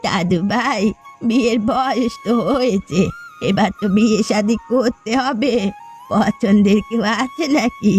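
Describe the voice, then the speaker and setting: An elderly cartoon grandmother put on by a woman, fond and teasing. Her voice sits on the high side, with faint music behind it. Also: a little slow, darkish tone, natural voice. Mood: doting, teasing. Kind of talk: cartoon voice acting.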